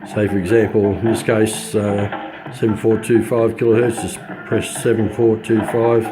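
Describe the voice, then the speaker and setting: An Australian man giving an informal hands-on product walkthrough in a halting, understated way, his voice slightly scratchy as he gets over influenza. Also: fairly low pitch, level tone, music in the background. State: recovering from influenza. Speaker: radio hobbyist reviewer.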